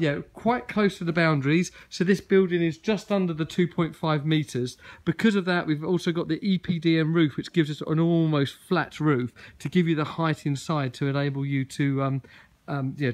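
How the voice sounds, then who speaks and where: A slightly high-voiced man walks through a garden with a handheld phone, talking in an easy, enthusiastic way. He fills his sentences with ums and uhs as he points things out outdoors. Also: steady tone, moderate pace. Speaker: garden building company representative. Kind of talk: walkaround video tour. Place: outdoors in a large garden and inside a garden gym. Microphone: phone camera held while walking.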